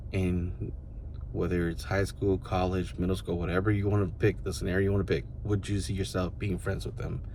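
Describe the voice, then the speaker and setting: A man in his thirties with a very low voice talks straight to his viewers as a vlogger. He muses in a loose, rambling way and keeps leaning on fillers. A faint hum of room noise sits behind him. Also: a little mellow. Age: 30s. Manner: rambling, loose, full of fillers such as "you know" and "like". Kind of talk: casual reflective monologue to the camera.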